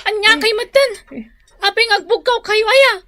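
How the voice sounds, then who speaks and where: A woman's voice: very high-pitched, moderate pace, sharp tone, no room sound, studio quality. A woman playing a young, whiny, protesting child of the family in an Ilocano radio drama.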